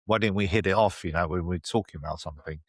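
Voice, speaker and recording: A man's voice: sits low, quick pace, breathy edge, dry recording, studio quality. A man with a British accent, a podcast guest explaining ideas in a reflective, conversational way, often adding "you know" and "right?". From United Kingdom. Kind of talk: conversational interview.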